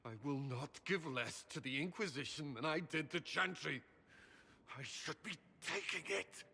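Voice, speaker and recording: An actor voicing a troubled male soldier commander in video game dialogue, haunted and guilt-ridden, speaking in a strained, halting and intense way. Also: a little high, vocal fry, bright, forceful tone, slightly rough, big-room sound, full character voice. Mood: anguished.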